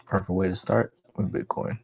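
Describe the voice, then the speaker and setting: A man's voice: low-pitched, flat delivery, normal speed, gravelly, slightly rough, no room sound. A man hosting a livestream, giving casual, slangy, repetitive commentary and talking to his chat. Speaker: livestream host.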